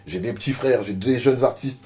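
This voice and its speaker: A man's voice: medium pitch, moderate pace, a little mellow. A rapper being interviewed, talking casually and often repeating words while he searches for them. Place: media office.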